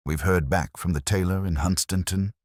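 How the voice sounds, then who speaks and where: Man voicing deep-voiced, dutiful police sergeant. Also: steady tone, moderate pace, a little mellow, no echo, studio quality. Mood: reporting. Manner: plain reporting, deferential. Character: dutiful male police sergeant, matter-of-fact, mildly wry.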